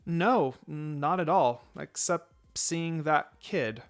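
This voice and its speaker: An excitable young girl from a fan story, easily shocked and anxious about her friend, acted out by a man. Music plays behind her. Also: fairly high pitch, extremely slow. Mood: worried. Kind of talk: amateur fan fiction character reading.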